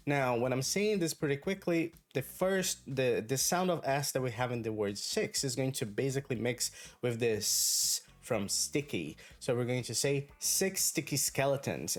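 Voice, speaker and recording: A man whose first language is Brazilian Portuguese, giving an online English pronunciation lesson in a clear, deliberate, encouraging way. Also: mid-range voice. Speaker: English teacher.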